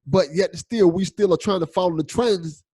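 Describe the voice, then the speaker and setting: A man's voice: high voice, moderate pace, darkish tone, husky. A young man hosting a casual podcast chat, agreeable and thoughtful, often restarting his sentences. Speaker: podcast host.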